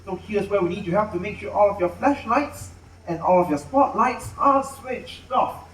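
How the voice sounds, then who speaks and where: High-pitched male show host. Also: lively intonation, moderate pace. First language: Mandarin. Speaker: live animal show host. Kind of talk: animated stage announcing to an audience. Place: outdoor amphitheater at night. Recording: echoey amplified outdoor venue, distant, noisy.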